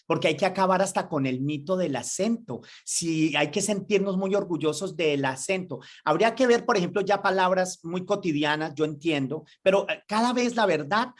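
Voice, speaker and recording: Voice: medium pitch, lively intonation, normal speed, hardly any pauses. Enthusiastic rambling talk-show host. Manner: enthusiastic, rambling, with many fillers. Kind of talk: casual interview chat.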